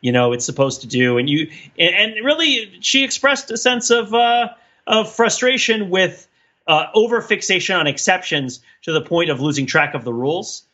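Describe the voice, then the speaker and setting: Bright-voiced man rambling through explanations. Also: high-pitched, expressive, normal speed, muffled recording. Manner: rambling, digressive explaining, often checking with "right?". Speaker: podcast co-host. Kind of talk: casual discussion.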